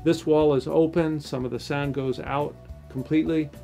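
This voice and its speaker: A middle-aged man, a loudspeaker designer, casually explaining his home listening room, hesitant with restarts. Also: fairly high pitch, fairly even intonation, moderate pace, gravelly, soft background music. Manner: hesitant, many fillers and restarts, technical. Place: home listening room, carpeted and acoustically dead.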